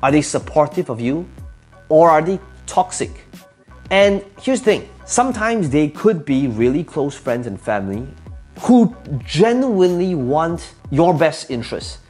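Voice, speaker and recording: A man's voice: a little high, very animated, measured pace, darkish tone, faint music behind. A man whose first language is Cantonese gives motivational advice to camera, speaking emphatically, asking rhetorical questions and repeating his key phrases. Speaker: entrepreneur giving advice.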